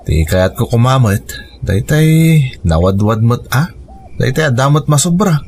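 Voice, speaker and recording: A man's voice: mid-range voice, animated, unhurried, a little mellow, a little hiss or hum. An actor playing a tired, plain-spoken working husband and father in a radio drama, with a Filipino accent. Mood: mildly fretful. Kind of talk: naturalistic radio drama acting. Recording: music bed behind radio drama dialogue.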